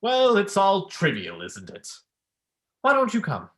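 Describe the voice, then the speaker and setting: A man's voice: sits high, huge pitch swings, a little slow, fairly bright tone, full character voice. Young man acting a smug academic, swooping intonation. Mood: teasing, provocative. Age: young adult.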